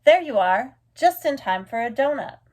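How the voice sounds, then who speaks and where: A woman puts on a friendly storybook grocer, a man with a rather high voice. He calls out heartily, as if greeting a child. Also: expressive, moderate pace, a little mellow. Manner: hearty, calling out.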